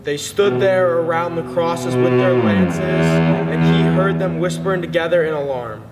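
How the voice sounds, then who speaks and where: A man's voice: fairly high pitch, big pitch swings, measured pace. Far-off man narrating in cavernous reverb. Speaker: dramatic reader. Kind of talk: dramatic literary narration. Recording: distant, long reverb, music behind.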